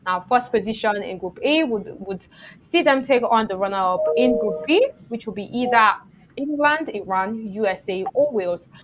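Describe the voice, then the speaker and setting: A woman's voice: fairly high pitch, animated, moderate pace, noisy background. A woman hosting a football show, reading scripted match previews and putting questions to viewers and guests.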